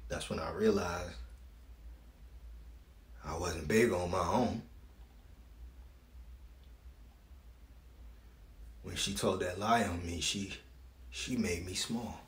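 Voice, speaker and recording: Deep-voiced wounded son acted by a man, echoey room. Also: steady tone, a little slow, pauses often. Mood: bitter, hurt. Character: wounded, proud grown son confronting his father. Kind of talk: audition stage monologue, emotional acting.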